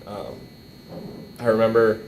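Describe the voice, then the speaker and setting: A young man giving an informal eulogy, emotional and halting as he repeats words, at a stand microphone indoors. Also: fairly even intonation, a little mellow. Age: young adult. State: emotional, struggling to keep composure. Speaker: eulogist at a memorial, nephew of the deceased. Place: indoor hall. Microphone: microphone on a boom stand. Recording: roomy echo, faint rumbling noise.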